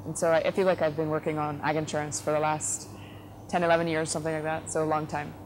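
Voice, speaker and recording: A woman from the Netherlands speaks in a low, steady register with little rise and fall. She is giving relaxed interview answers as a company head, thinking aloud with frequent fillers and restarts. A clear hiss of background noise sits under her voice. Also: fast talker. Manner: thoughtful, frequent fillers and self-corrections. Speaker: company CEO, interview guest.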